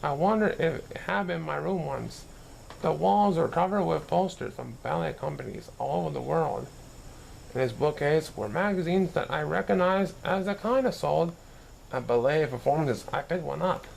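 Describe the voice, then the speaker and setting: A man's voice: on the high side, animated, normal speed, vocal fry, a little mellow, a little hiss or hum, poor audio. A man reading a children's story aloud as narration, now and then stumbling and correcting himself. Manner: occasional stumbles and self-corrections while reading. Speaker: story reader.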